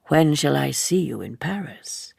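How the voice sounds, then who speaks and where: A woman's voice: low-pitched, expressive, a little slow, creaky, darkish tone, husky, dry recording, studio quality, pitched below the performer's own voice, exaggerated voice. A woman narrator voicing a cool, candid young woman in an audiobook, forthright and self-possessed. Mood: composed. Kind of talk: audiobook character dialogue.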